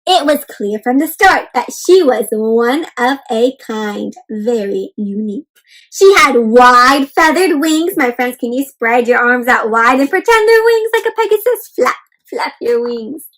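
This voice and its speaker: A woman doing an interactive children's read-aloud, expressive and full of exclamations, often putting questions to young listeners. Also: fairly high pitch, a little slow, low-quality recording. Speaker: host reading a picture book aloud to children.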